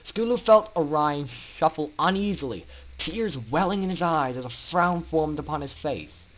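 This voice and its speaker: Higher-pitched man reading a story dramatically. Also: animated, fairly bright tone, slight background noise, rough recording. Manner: theatrical, emphatic, with dramatic pauses. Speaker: narrator.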